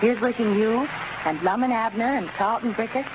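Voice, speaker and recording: A woman with a fairly high voice reads a scripted testimonial for an old 1930s radio advertisement. Her delivery is precise and formal. The recording is muffled, with clear noise. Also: normal speed.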